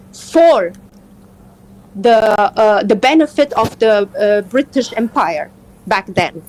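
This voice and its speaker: A woman from Afghanistan, a native Persian speaker, in a live political discussion, speaking earnestly and haltingly as she searches for words. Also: a little high, darkish tone, rough recording. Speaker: interview guest.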